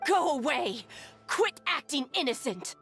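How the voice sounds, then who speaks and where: A woman's voice: sits high, sing-song, a little slow, a bit bright, soft background music, exaggerated voice. A woman acting a distrustful townswoman in a video game, with a sneering, accusing way of talking. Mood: angry. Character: scornful, distrustful adult townswoman.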